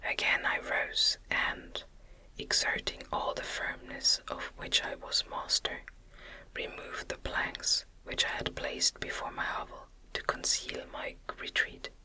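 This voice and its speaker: Slow whispering woman reading a story. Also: rough recording. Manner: slow, deliberate, hushed phrasing. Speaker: audiobook reader. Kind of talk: whispered ASMR reading of a classic novel.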